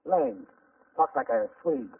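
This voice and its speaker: An actor playing a tired, anxious sailor on the run, slangy and urgent in 1940s radio style, on a tinny old recording. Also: male voice, mid-range voice, sing-song, takes it slowly, husky, dry recording, far from the mic, heavily acted.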